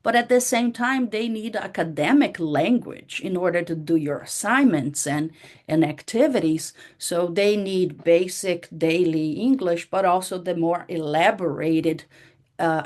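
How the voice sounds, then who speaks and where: A woman whose first language is Portuguese, giving a conversational workshop talk to teachers, with frequent fillers and restarts. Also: fairly low pitch. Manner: conversational, many "you know" fillers and restarts. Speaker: workshop presenter.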